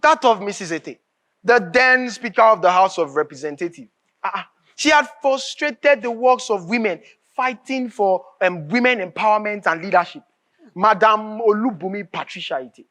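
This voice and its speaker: Animated Nigerian man, Jude, on stage. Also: high-pitched, moderate pace. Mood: probing. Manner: exclamatory, reactive. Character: Jude, animated, agreeable friend trading grievances about Nigeria. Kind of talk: projected stage play dialogue.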